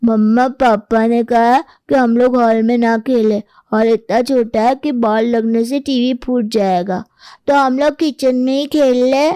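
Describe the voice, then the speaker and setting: A woman's voice: a little high, steady tone, unhurried, warm tone, clear, resonant tone, no echo, studio quality, close to an everyday voice. A woman voicing a small innocent child explaining things in a moral story. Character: small playful child of the household.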